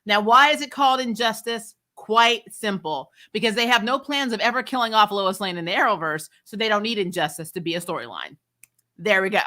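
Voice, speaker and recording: A middle-aged woman giving a casual, opinionated recap as a review host, exasperated and animated, often mimicking other people's lines. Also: medium pitch, fairly quick. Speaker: host of a fan TV review channel.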